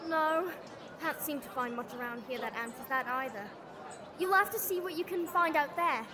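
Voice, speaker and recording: Woman acting a very high-voiced earnest girl. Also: moderate pace, natural voice. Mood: determined. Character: earnest, determined young girl detective held captive. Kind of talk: scripted audio drama acting. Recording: busy outdoor crowd noise behind.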